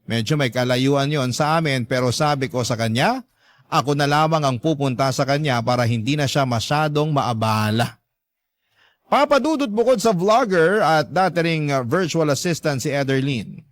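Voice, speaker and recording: Guy narrating a love story letter first person, scripted, medium pitch, really dry close mic. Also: dry recording.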